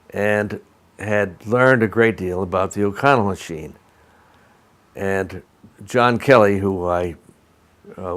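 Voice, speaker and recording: An older man from Albany with an American accent, reminiscing in an oral history interview in a measured, halting, digressive way. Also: on the low side. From Albany, New York, USA. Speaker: interviewee, retired lawyer recalling career.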